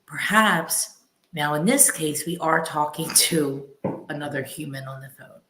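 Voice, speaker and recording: A woman with a notably low voice hosts a live question and answer session. She puts questions to her guest and thinks aloud as she talks, in halting phrases. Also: a bit bright. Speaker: host. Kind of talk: live Q&A interviewing.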